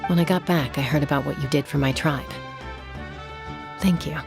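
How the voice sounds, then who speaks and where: Low, gravelly, composed huntress. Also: female voice, normal speed. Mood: earnest. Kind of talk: video game character acting. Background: music.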